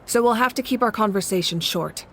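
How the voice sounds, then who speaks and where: Measured, resolute ruler. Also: female voice, a little high, fairly bright tone, slight background noise. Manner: measured, serious. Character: woman ruler, calm and resolute. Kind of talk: game dialogue.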